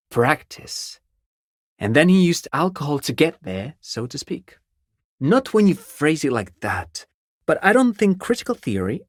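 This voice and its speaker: A man's voice: mid-range voice, dry recording, clean studio sound. An actor playing an eager, erudite academic consultant in a game, articulate and long-winded, musing and politely hedging as he speaks. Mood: curious, probing.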